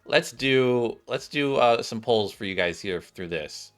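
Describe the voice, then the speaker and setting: A man's voice: medium pitch, expressive, measured pace, fairly bright tone. A man hosting a gaming video, giving casual, chatty commentary addressed straight to his viewers. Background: game music.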